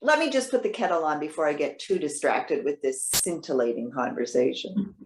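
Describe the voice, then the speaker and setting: A woman's voice: deep voice, lively intonation, normal speed, slight background noise. A woman playing a proud, witty elderly retired social worker in readers theater, articulate, wry and pointed. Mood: wry, chatty. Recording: roomy echo.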